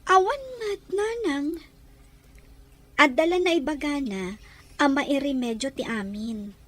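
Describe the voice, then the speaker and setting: A woman's voice: high-pitched, unhurried, fairly bright tone. A woman playing an earnest, questioning young daughter in an audio drama, with an Ilocano accent. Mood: earnest, pleading.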